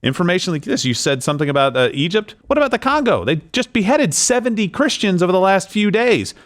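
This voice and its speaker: Fast, wry male talk show co-host. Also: high voice, very animated. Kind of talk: conversational political commentary.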